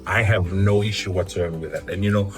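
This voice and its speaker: A man hosting a casual interview, talking in an animated way with many fillers. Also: low-pitched, a little fast, faint music behind.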